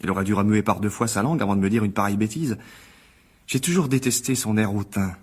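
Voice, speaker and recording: An actor playing a spiteful, jealous newspaper critic with an indignant manner in a French radio drama. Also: male voice, fairly low pitch, fast talker, breathy edge. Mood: scornful. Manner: scornful, indignant.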